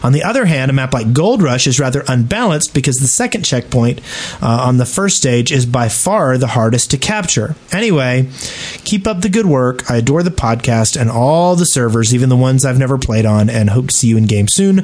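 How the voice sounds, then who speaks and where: A man's voice: medium pitch, expressive, fairly quick, a little mellow. A man co-hosting a podcast in casual, joking gaming chat and reading out listener mail. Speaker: podcast co-host.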